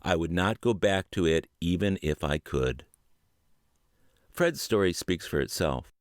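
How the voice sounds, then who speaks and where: Low-voiced man narrating an audiobook. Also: no room sound, studio quality. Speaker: audiobook narrator and podcast host.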